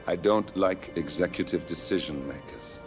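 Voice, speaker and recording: A man playing a wry, low-voiced ally. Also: normal speed, lightly acted. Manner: wry, easygoing and sardonic. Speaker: narrator and ally. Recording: game cutscene over music.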